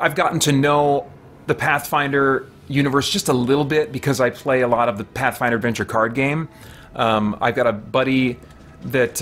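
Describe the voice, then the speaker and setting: A man's voice: medium pitch, a bit bright, slight background noise. A middle-aged man doing casual live gameplay commentary, chatting and thinking aloud as he streams from a small home office. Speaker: game streamer. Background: game music.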